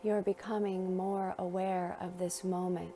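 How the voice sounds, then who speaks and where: Woman, guided meditation narration, very slow pace, soft mellow tone, soothing, long pauses, faint music behind. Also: fairly low pitch, little pitch movement.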